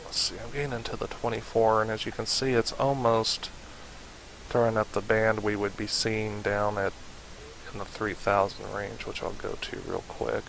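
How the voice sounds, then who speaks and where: A man narrating a casual software tutorial, informally thinking aloud as he demonstrates, in a hissy home recording. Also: lowish voice, level tone, moderate pace, gravelly, rough recording. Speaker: tutorial host.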